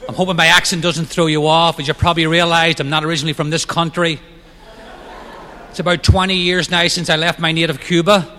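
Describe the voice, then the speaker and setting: A middle-aged man with a Northern Irish accent, telling his recovery story with quick, self-mocking humor. His voice sits high and sounds bright and forceful. It rings out with a long hall echo. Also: little pitch movement, a little hiss or hum. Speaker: main speaker at a recovery meeting. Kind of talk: personal recovery talk.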